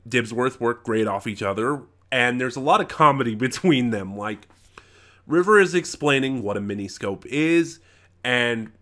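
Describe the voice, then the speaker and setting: A man with a middling pitch and a bright, forceful tone talks as a casual reviewer. He gives his opinions off the cuff, rambling and halting, often stopping to correct himself. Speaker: reviewer, channel host. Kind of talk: casual unscripted audio drama review.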